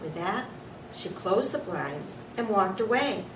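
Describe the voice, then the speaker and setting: A woman narrates a children's book, reading from the text. She sounds slightly distant in an echoey room, with clear noise on the recording. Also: mid-range voice, lively intonation, slow. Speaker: storybook reader.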